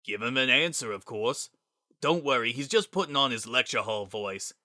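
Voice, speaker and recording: A man's voice: mid-range voice, lively intonation, normal speed, bright, forceful tone, no room sound, clean studio sound. A man playing an easygoing, wisecracking skeleton with casual, slangy, teasing fondness in a dramatic reading. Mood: teasing, reassuring. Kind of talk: dramatic reading of fan fiction.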